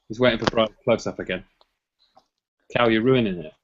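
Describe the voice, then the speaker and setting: A man's voice: lowish voice, a little slow, highs cut off, a little hiss or hum. A man hosting a casual podcast chat, laughing often and hedging his points. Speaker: podcast host. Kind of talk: casual chat about boxing rankings.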